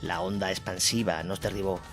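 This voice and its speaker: A man's voice: low voice, little pitch movement, fairly quick, fairly bright tone. A man from reading a scripted documentary war narration as the narrator of an audio drama. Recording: music bed under the voice.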